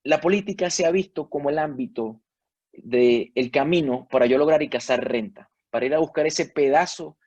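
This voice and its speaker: A man's voice: on the high side, normal speed, darkish tone, no room sound. Venezuelan man musing, many fillers. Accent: Venezuelan Spanish. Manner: thoughtful, hesitant, many fillers and restarts. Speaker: panel guest. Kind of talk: reflective panel discussion.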